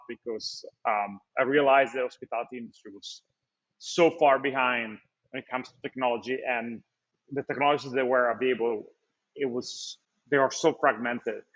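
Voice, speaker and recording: A man speaks as a startup founder in a webinar. His voice sits at an ordinary pitch and comes through slightly muffled web call audio. He talks fluently but keeps stopping to restart phrases and fill gaps with "uh" and "um.". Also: a bit bright. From Italy. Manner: fluent but hesitant, frequent fillers and restarts. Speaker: startup founder and CEO, guest. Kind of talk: webinar interview, business pitch. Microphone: video call.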